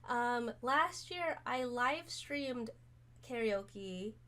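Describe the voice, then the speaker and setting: A woman streamer chatting casually to camera, hoarse from being sick, rambling with many pauses and fillers. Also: a little high, lively intonation. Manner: rambling, many ums, soft-spoken. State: hoarse, recovering from illness.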